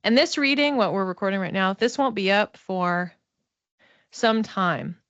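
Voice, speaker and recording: A woman's voice: mid-range voice, animated, unhurried, fairly bright tone, dry recording, highs cut off. A woman giving an informal spiritual card reading to one listener in a reassuring, conversational manner. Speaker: psychic oracle card reader and mentor.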